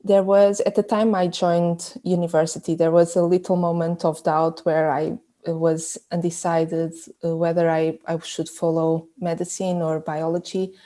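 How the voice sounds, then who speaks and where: A woman's voice: lowish voice, flat delivery, normal speed, hardly any pauses, dark tone. A woman from Portugal, a research group leader, explaining her work in an interview with frequent fillers and restarts. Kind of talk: interview answers.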